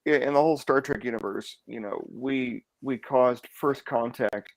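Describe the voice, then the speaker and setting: Male panelist, noticeable vocal fry, dry close sound, informal conversational talk. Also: mid-range voice, normal speed, no room sound, low-quality recording. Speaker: podcast panelist. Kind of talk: casual panel discussion.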